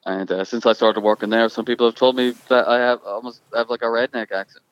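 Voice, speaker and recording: A young man from Ireland with an accent that drifts between Irish, Scottish and American, talking casually and haltingly with many restarts. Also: medium pitch, fairly even intonation, clean tone, dry recording, muffled recording. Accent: mixed Irish and Scottish with American. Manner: halting, restarts often, thoughtful. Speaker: guest musician. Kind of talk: casual conversation.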